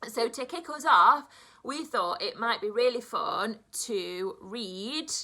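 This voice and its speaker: A woman, a primary school teacher, reading aloud to young children in an expressive storybook style with dramatic emphasis. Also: mid-range voice.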